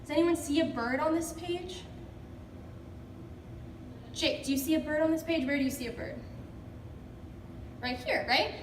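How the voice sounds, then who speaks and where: A woman's voice: high-pitched, normal speed, long pauses. A woman teacher leading an interactive picture-book read-aloud for children, encouraging and prompting with questions. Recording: echoey room, distant mic, background noise.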